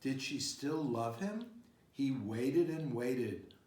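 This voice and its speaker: A man reads a children's story aloud in an expressive way. His voice sits at a middle pitch and he takes his time over the phrases. The room around him echoes noticeably. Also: slow. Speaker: storybook reader. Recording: roomy, echoing sound.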